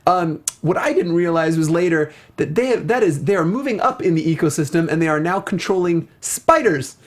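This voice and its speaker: Co-host, mid pitch, casual, animated, emphatic, slangy, conversational. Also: a little fast. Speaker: co-host of a film and game review show. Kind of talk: casual chatty discussion.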